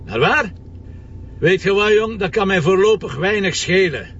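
An actor playing an impatient, sardonic police commissioner with a Flemish accent, brusque and pressing in a TV detective drama. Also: male voice, sits high, animated, normal speed, fairly bright tone, breathy edge, heavily acted. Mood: exasperated, urgent. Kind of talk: naturalistic TV detective drama dialogue. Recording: muffled distant sound with background noise.

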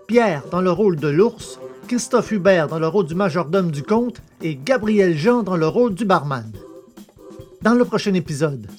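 A man's voice: high-pitched, darkish tone. A man presenting an audio drama, reading scripted credits as an announcer. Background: music.

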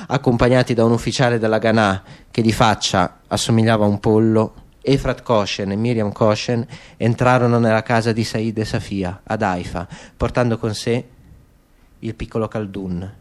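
A man's voice: on the low side, darkish tone. A man narrating a literary radio drama as an actor reading a story. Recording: faint background noise.

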